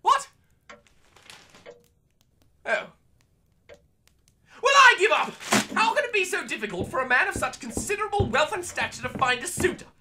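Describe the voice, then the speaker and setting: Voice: extremely high pitch, very animated, normal speed, long pauses, sharp tone, a little hiss or hum, full character voice. An actor playing a pompous, panicky and callous lord of the manor in a comedy audio drama, with a British accent and florid, theatrical speech. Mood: exasperated, disdainful.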